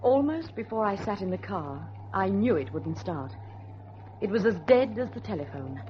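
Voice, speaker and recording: Muffled radio drama recording, actress playing young woman storyteller, earnest, suspenseful, lively intonation, faint noise. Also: medium pitch, normal speed, natural voice. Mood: grave, suspenseful. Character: anxious, determined young woman, storyteller niece. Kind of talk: dramatic first-person narration and radio drama acting.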